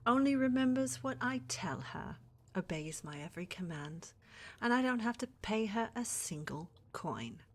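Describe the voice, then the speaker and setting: A woman playing a flirty, scheming witch in a fantasy ASMR roleplay, with a breathy, teasing, coaxing delivery that often drops to whispers. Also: on the high side, very animated, normal speed. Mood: smug, sinister. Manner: teasing, coaxing, sugary endearments with theatrical menace. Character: flirty, scheming witch who runs a potion shop. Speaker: voice actor in audio roleplay.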